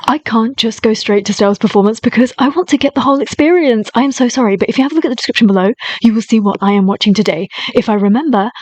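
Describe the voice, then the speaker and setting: A woman's voice: on the high side, a little mellow, dry recording. A woman hosting her own reaction channel, talking in a chatty, eager and often apologetic way. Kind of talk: casual reaction commentary.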